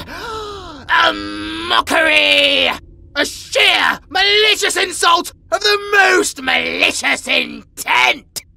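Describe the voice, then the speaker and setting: Pompous British tiger-boy, bright forceful tone, slow grand delivery, theatrical tsundere cat for audio roleplay. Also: very high voice, vocal fry, slight background noise, exaggerated voice. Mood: outraged, wailing. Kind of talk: comedic audio roleplay acting.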